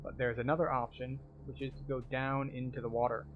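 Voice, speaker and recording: A man's voice: mid-range voice, level tone, brisk, phone-quality sound. Man guiding a game walkthrough over music. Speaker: video game tour guide. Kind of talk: walkthrough commentary, casual and explanatory.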